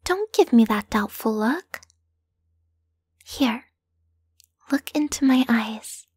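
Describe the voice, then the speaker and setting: A woman voicing a lovesick, possessive yandere kidnapper in an intimate roleplay audio, doting and coaxing in a breathy voice that often sinks into whispers. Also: a little high, expressive, darkish tone, no room sound, clean studio sound, exaggerated voice. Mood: coaxing, mock-stern. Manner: doting, coaxing, slow and lingering.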